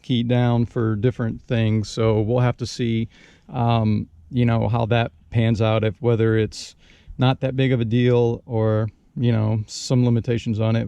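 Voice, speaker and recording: Mellow-voiced man, casual product walkthrough. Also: medium pitch, no room sound. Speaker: product reviewer and channel host.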